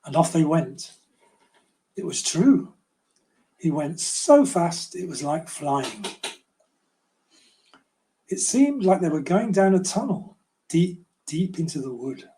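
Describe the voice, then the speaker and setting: An older man reading a children's storybook aloud in a gentle, unhurried way. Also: on the high side, big pitch swings. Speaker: children's author reading own book.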